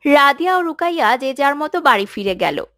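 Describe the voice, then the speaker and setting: A woman's voice: high voice, big pitch swings, brisk, a bit bright, dry recording, studio quality. A woman narrator reading scripted storytelling narration for a children's cartoon.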